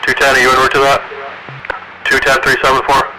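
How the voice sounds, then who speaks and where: A man's voice: medium pitch, monotone, a little fast, loud music behind the voice. A male police officer making clipped, businesslike transmissions over a police radio. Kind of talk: terse police radio traffic. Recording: very noisy police radio scanner capture.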